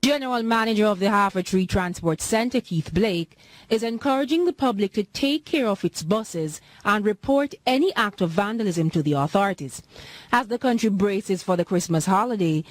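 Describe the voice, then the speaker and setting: A woman's voice: medium pitch, expressive, normal speed, no room sound. Dry-recorded Jamaican woman news reporter. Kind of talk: scripted report narration.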